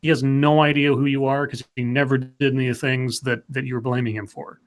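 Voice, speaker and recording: A man's voice: mid-range voice, fast. A man co-hosting a live comics chat show, talking loosely with lots of restarts and fillers. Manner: rambling, animated, many restarts and fillers. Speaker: podcast co-host. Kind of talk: casual live-stream chat.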